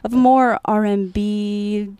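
A woman's voice: medium pitch, steady tone, slow, dark tone, clear, resonant tone, no echo. A young adult woman, a student bandleader and singer, chatting casually in an interview with lots of fillers. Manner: chatty, filler-heavy, upbeat.

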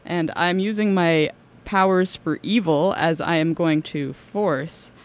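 A woman's voice: fairly low pitch, slow pace. A young woman hosting student radio from the board, playful and self-mocking. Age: young adult.

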